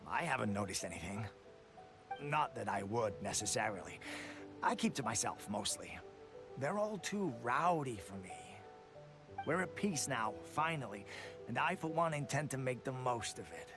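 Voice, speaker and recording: A man voicing a sleepy, laid-back loner soldier in a video game, speaking in a drowsy, halting way. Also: mid-range voice, big pitch swings. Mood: lazy, unbothered. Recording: loud game music behind.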